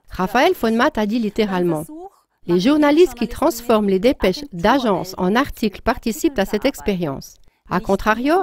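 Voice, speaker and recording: A woman reading a scripted news commentary as a voice-over, in an earnest, emphatic tone. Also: on the high side.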